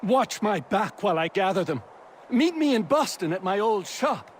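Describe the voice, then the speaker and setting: High-voiced, apologetic colonial tradesman. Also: very animated, normal speed, slight background noise. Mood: sheepish.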